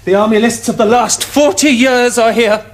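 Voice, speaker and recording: An actor playing an excitable, earnest young Victorian gentleman with an upper-class English accent, in formal theatrical diction for a television period comedy. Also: very high voice, moderate pace, a little hiss or hum. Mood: eager, excited. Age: young adult.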